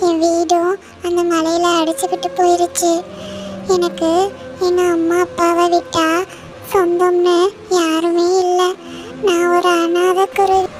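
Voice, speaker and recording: A woman voicing an orphaned young sparrow in a cartoon, speaking slowly and sorrowfully. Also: very high voice. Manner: slow, sorrowful, pleading. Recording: light music bed.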